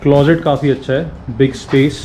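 A man's voice: medium pitch, level tone, a little fast, mellow, slight background noise. A man in his thirties giving a casual walking tour of an apartment building, chatting in Hindi with English phrases, filmed on a handheld action camera. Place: new apartment building, rooms and rooftop. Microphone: handheld action camera, wide angle. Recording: echoey empty rooms, music underneath.

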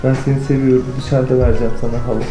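A man's voice: mid-range voice, level tone, very fast. A man in his thirties hosting a vlog with casual, joking, teasing talk. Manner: playful, teasing. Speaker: vlog host at a vet clinic. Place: small indoor room and veterinary exam room. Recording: echoey small room, faint music.

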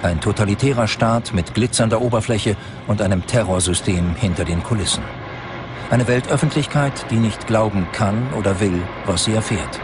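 A man's voice: lowish voice, moderate pace, background noise. A man reading scripted documentary narration as the narrator. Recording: loud music under the voice. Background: loud music.

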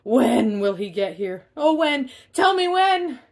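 Greedy, cranky storybook dog played by a woman: shrill, high, loud and insistent. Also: very animated, takes it slowly, heavily acted. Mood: impatient. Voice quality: shrill, shouting. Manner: demanding, whining, emphatic. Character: greedy bratty cranky pug dog, male, demanding. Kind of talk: children's storybook read-aloud.

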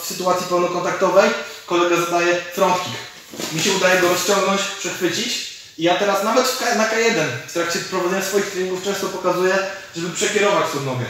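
A man's voice: sits high, fairly bright tone. Echoey man coaching martial arts. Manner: explains while demonstrating moves. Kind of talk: instructional technique demonstration. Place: large indoor gym with fighting cage. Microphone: camera mic from several metres away. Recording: distant mic, very echoey hall, noisy.

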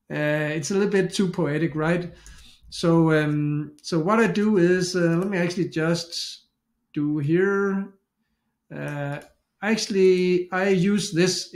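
Middle-aged Danish-accented man, fairly high voice, chatty tutorial host who restarts his sentences; echoey home office. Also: darkish tone. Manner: chatty, self-interrupting, thinks aloud with restarts. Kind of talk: casual screen-share tutorial. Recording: echoey room.

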